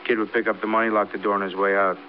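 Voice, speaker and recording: An actor playing a matter-of-fact police detective with an American accent, giving clipped, businesslike reports in a 1950s radio drama. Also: male voice, on the low side, level tone, racing, natural voice. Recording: muffled vintage radio, faint noise.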